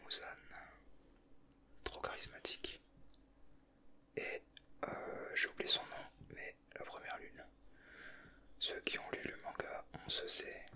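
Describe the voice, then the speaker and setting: A man whispering softly in an informal, slangy, rambling ASMR commentary with hesitations. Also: takes it slowly, long pauses. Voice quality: soft, unvoiced whisper. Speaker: ASMR video creator, host. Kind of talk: whispered ASMR, casual flip-through commentary.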